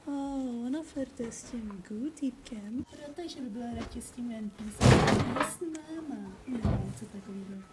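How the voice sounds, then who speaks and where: A woman's voice: on the high side, few pauses, lightly acted. A woman voicing a grumpy, sarcastic, defiant cartoon worker in an amateur fan dub, blunt and dismissive. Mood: snide. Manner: blunt, snarky. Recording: very noisy home dub, clicks.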